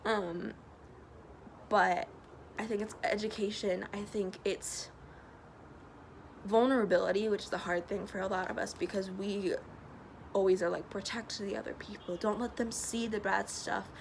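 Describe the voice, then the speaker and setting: A woman's voice: medium pitch, fairly even intonation, normal speed, creaky, long pauses. A young woman with a stuffy nose, answering questions in a casual vlog outdoors. She talks in an earnest, chatty way with many ums. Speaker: vlogger. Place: outdoors by a loud road. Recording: noisy outdoor recording. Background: passing traffic.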